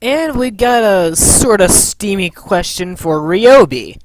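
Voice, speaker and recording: A woman's voice: mid-range voice, expressive, slow pace, noisy background. A woman hosting a scripted comedy question-and-answer show, reading out questions.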